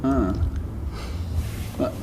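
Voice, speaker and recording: A man, a jazz bassist answering interview questions thoughtfully, with lots of hesitations and restarts. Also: extremely slow, background noise. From Denmark. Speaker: jazz double bass player, interviewee. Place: concert venue. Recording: music playing underneath. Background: music playing.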